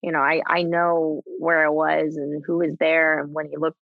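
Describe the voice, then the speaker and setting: A woman in her thirties talking candidly as a guest, halting with many restarts and frequent laughs. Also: low-pitched, level tone, creaky, no room sound, phone-quality sound. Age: 30s. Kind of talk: candid conversation.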